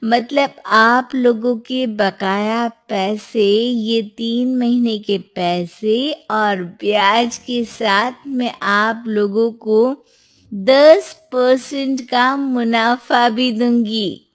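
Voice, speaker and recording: A woman's voice: fairly high pitch, fairly even intonation, very slow. A woman voicing a determined, principled young businesswoman in a moral tale, earnest and firm.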